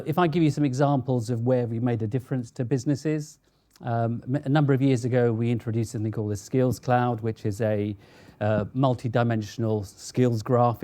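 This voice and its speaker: A man speaking as a panelist in a measured, explanatory way. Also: mid-range voice, mellow. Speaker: enterprise architect, panelist.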